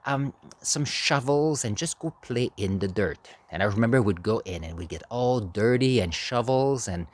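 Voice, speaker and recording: A man giving a storytelling speech as an amateur speaker, halting, with dramatic pauses and emphasis. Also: on the low side, expressive. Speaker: amateur public speaker practicing a contest speech. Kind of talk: animated storytelling speech, tall tale.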